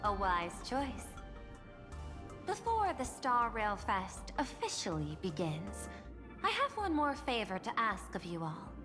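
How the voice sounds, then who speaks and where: An actress voicing a poised, persuasive corporate executive in a video game, speaking in a polished, formal and measured way. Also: female voice, medium pitch, big-room sound.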